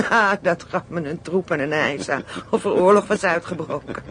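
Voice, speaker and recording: A man's voice: on the high side, moderate pace, fairly bright tone, close to an everyday voice. A man acting a brash, streetwise Dutch criminal underling in a radio play, blunt, coarse and slangy. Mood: boisterous, amused. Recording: faint noise, radio play recording.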